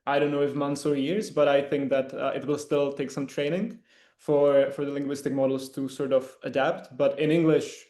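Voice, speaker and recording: A young man, a PR consultant answering steadily on an online panel. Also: mid-range voice. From Czech Republic. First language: Czech. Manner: steady, measured, some fillers. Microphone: video call. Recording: echoey room.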